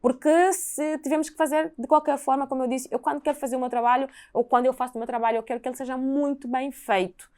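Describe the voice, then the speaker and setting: Earnest Angolan woman with a clear voice. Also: high-pitched, fairly even intonation, darkish tone. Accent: Angolan Portuguese. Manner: earnest, explains at length, quick bursts. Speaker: podcast guest. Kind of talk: casual chat.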